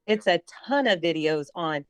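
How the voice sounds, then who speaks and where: A woman's voice: fairly low pitch, expressive, moderate pace, dry recording. A woman on a call, politely backing up the coach's advice. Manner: polite, supportive. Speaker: community member. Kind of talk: casual call chat.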